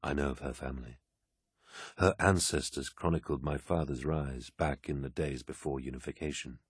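Man playing a very deep, husky brooding warlord. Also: fairly even intonation, no echo, studio quality. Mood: cool, challenging. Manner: grave, measured, imperious. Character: commanding, brooding armoured male warlord, proud and grand.

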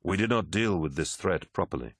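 A man voicing a commanding, brooding warlord in an audiobook, stern and deliberate. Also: sits low, animated, no room sound, clean studio sound.

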